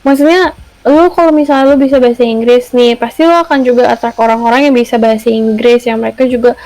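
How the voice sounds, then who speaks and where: A woman's voice: fairly high pitch, steady tone, fast, darkish tone, rough recording. Young woman co-host, Jakarta accent, casual chatter with English words dropped in. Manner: chatty, mixes Indonesian slang with English words. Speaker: podcast co-host. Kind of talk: casual chat.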